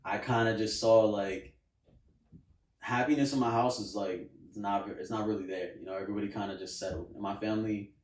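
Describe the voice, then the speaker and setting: A man's voice: on the low side, fairly even intonation, fairly quick, far from the mic. A young man from New Jersey, a rapper guest, talking in a laid-back way full of "like" and "you know". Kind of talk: casual interview chat. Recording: roomy, from off mic.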